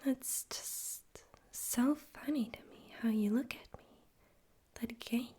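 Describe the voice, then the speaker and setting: A woman plays a demon overlord of hell in a whispered ASMR roleplay, soft and husky. The delivery is very slow and deliberate, with a teasing, condescending amusement and quiet menace. The tone stays dark and mellow. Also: a little high. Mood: haughty amusement. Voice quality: breathy whisper.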